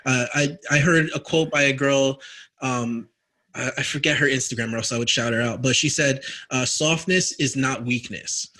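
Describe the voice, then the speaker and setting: Young guy hosting a podcast, sharp forceful tone, keeps restarting his sentences as he thinks out loud. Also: medium pitch, moderate pace. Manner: talks in long, reflective stretches with many restarts. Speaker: podcast host. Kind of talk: casual podcast conversation.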